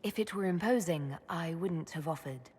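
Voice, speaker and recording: An actress playing a young female elf mage leader in a game, calm and measured. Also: lowish voice, slight background noise, close to an everyday voice. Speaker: player character leader.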